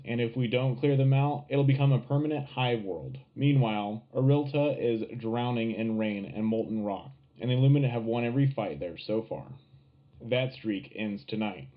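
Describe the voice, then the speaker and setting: A man's voice: medium pitch, natural voice. Man playing a tinny-sounding wartime radio host. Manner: dramatic, emphatic announcer delivery. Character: upbeat patriotic wartime radio host of future Earth. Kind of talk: punchy scripted radio news broadcast. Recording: tinny narrow-band radio sound, faint music.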